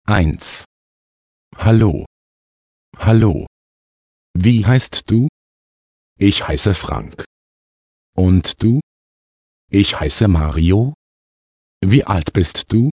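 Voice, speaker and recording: A man with a lowish voice reads short lesson dialogue lines at a very unhurried pace. His delivery is measured and deliberate, as a language course narrator. The sound is close and dry, with no room reverberation. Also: darkish tone.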